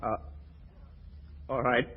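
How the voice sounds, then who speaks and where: An actor playing a meek, nervous young state trooper who hesitates and stammers, in a 1940s radio comedy. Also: male voice, extremely slow. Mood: fearful, reluctant. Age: young adult. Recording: muffled, noisy old radio broadcast recording.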